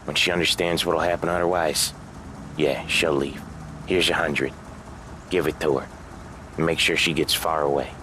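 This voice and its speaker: An actor playing a sardonic, weary 1930s American mobster in a video game, with dry, slangy delivery. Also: male voice, rumbling low, steady tone, normal speed, long pauses, sharp tone, a little hiss or hum, low-quality recording. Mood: low and serious. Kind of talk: cinematic video game dialogue.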